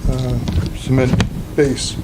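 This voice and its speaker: A man with a loud voice presenting his home project to a town commission, plainspoken and halting. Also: mid-range voice, completely flat delivery. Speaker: homeowner applicant. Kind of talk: presenting a project at a public meeting. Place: town meeting room. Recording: noisy meeting room audio.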